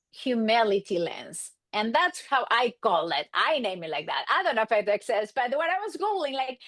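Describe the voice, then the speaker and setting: A woman's voice: on the high side, dry recording. A middle-aged woman from Argentina, a native Spanish speaker with an Argentine accent, telling stories casually as an interview guest and acting out quoted exchanges. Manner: animated, acts out quoted exchanges. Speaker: guest, marriage and family therapist.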